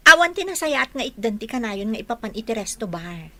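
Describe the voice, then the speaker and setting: Woman acting high-pitched, watchful, nagging wife. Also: big pitch swings, fast talker, sharp tone, heavily acted. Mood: reproachful. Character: watchful, questioning wife and mother, gently nagging. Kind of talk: Ilocano radio drama acting.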